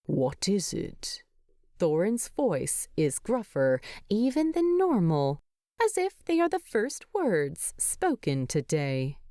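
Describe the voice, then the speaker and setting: A woman's voice: on the low side, a little mellow, dry recording, clean studio sound. A woman reading a scripted story aloud as its narrator. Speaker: fan fiction narrator.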